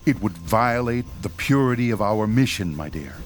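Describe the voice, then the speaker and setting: A man's voice: fairly low pitch, expressive, normal speed, lightly acted. An actor playing a principled ghost hunter in his fifties, formal, professorial and a little patronizing, in a horror radio drama. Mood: solemn, firm. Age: 50s.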